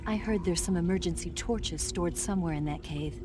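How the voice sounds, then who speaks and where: A woman's voice: lowish voice, a little fast, music in the background, lightly acted. A woman playing a cool, self-assured spy in a video game, confiding and matter-of-fact as she guides a soldier. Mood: brusque.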